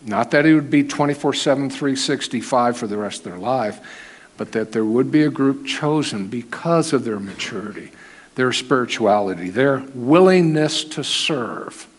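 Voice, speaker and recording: Male preacher, naturally loud voice kept in check, conversational church teaching, questions to the room. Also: mid-range voice, expressive, moderate pace. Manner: loud voice held down, conversational with restarts, asks the audience questions. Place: church hall.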